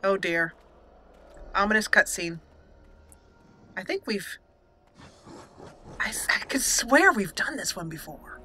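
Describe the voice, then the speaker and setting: A woman's voice: mid-range voice, sing-song, slow pace, pauses often, bright, forceful tone, a little hiss or hum. A woman giving casual, playful let's play commentary, chatting to herself and to the game as she goes. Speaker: gaming video host.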